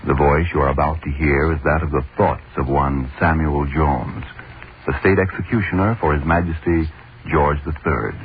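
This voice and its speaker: A man announcing a 1930s horror radio play in a solemn, measured way, heard through a tinny old recording. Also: a bass voice, slight background noise.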